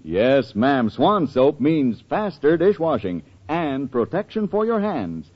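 A man's voice: a little high, very animated, slow. A man reading a scripted commercial as a 1940s radio announcer. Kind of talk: 1940s radio advertising read. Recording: muffled old-time radio recording.

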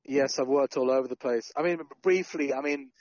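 A man's voice: medium pitch, moderate pace, no room sound, highs cut off. Dry-voiced man in his forties, joking. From Sri Lanka and North Wales. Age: 40s. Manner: relaxed, self-deprecating, joking asides. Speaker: podcast guest, journalist and founder. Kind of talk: casual interview conversation.

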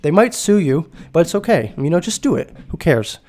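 A man's voice: on the high side, big pitch swings, moderate pace, darkish tone. Man with a stuffy nasal voice. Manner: enthusiastic, rambling, self-correcting. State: stuffy nose from a cold. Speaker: podcast host. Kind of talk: casual music podcast talk.

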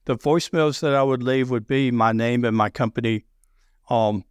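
A middle-aged man with a deep Southern accent, talking loudly and telling sales stories with many restarts. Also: moderate pace, clear, resonant tone, no echo, studio quality. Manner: loud, animated storyteller with frequent restarts. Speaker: sales podcast guest. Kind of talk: casual conversation.

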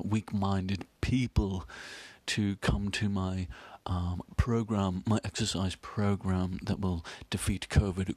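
A man's voice: fairly low pitch, a little mellow, no room sound. A man voicing a smug, gloating con-man who chuckles as he boasts.

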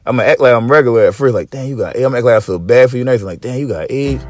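A man hosting a podcast in casual chat, with a slightly raspy voice and loose, profane, joking rants. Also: very fast, music in the background. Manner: profane, joking rants with big pitch swings. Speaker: podcast host.

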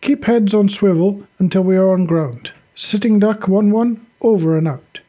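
A narrator voicing a husky good-humored commander. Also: mid-range voice, normal speed, dark tone, pitched above the performer's own voice, natural voice. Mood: businesslike. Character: good-humored Russian male armoured squad commander.

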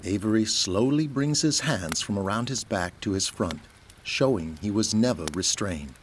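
A man's voice: on the low side, lively intonation, normal speed, slight background noise. A man delivering scripted, present-tense narration for an audio drama. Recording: music behind the voice.

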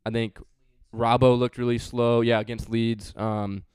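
Chatty man with a clear, dry voice. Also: mid-range voice, little pitch movement, unhurried, a little mellow, no room sound. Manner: chatty, joking, with many fillers. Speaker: podcast host. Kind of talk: casual sports chat.

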